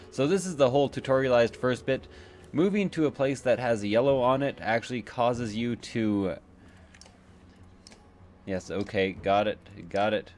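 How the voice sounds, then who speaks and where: Casual male gamer explaining over music. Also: fairly low pitch. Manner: thinks aloud while explaining. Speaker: let's play host. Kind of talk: casual game commentary and explanation. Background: game music.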